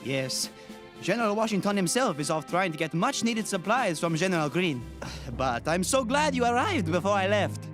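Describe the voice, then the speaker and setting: An eager, gallant young officer in an animated series. His voice sits high and swings a lot as he speaks in earnest, formal sentences. Music plays behind him. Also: normal speed.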